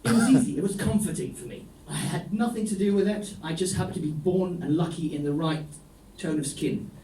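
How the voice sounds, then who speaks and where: Wry high-voiced comedian, echoey club. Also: male voice, fairly quick, background noise. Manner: dry, ironic storytelling with deadpan asides. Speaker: stand-up comedian. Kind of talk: stand-up set. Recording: echoey room, voice from a distance.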